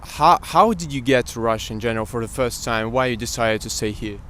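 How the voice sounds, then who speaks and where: Mid-pitched man asking questions, hesitant. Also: a little fast, bright, forceful tone. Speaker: street interviewer. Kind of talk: casual street interview questions. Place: outdoors on a city street. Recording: outdoor street, faint traffic noise.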